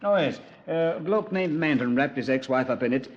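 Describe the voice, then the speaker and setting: On a muffled old radio recording, a man plays a genial British police commander. His mid-pitched voice is chatty and understated, with hesitant pauses. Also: animated, a little hiss or hum, close to an everyday voice. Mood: genial, matter-of-fact. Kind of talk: 1950s radio drama acting.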